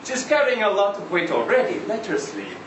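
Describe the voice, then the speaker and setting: A man reading a short story aloud as a chatty, insistent Israeli taxi driver, halting and conversational, full of rhetorical questions and tags. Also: sits high, big pitch swings. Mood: pleading, bargaining. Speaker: reader at a live literary event. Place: indoor live reading event. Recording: roomy live venue, distant mic, faint noise.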